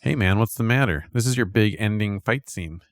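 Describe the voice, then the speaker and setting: Male host for scripted sponsor skit, lowish upbeat sales delivery, very dry sound. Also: a little fast, no room sound. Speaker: podcast host reading an ad.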